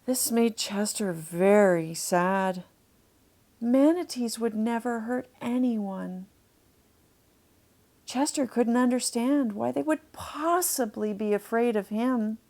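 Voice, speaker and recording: A woman narrating a children's storybook, deliberately explaining things to young listeners as she reads. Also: fairly high pitch, a little mellow.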